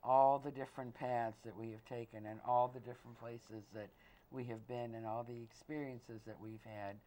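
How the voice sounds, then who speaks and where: A woman born in Austria hosting an interview, asking questions in a measured, earnest way. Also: rumbling low, fairly even intonation, warm tone, a little hiss or hum, poor audio. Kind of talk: community TV interview.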